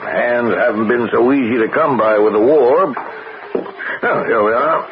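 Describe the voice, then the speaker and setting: A man playing a paternal middle-aged rancher husband in an old-time radio drama, courteous and halting. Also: medium pitch, natural voice. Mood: hearty, genial. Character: courtly older rancher husband, guarded and solicitous. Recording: muffled, noisy old radio broadcast.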